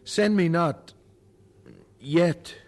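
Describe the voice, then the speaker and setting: A man's voice: on the high side, extremely slow, darkish tone. A man playing an earnest, devout young preacher in an audio drama, with formal, humble speech. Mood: anguished prayer.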